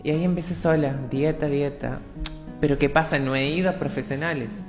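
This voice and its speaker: A woman's voice: sits low, steady tone, fairly quick, music in the background. Woman in her twenties, reverberant radio studio. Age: 20s. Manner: open, reflective, personal storytelling. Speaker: guest. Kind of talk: candid radio interview.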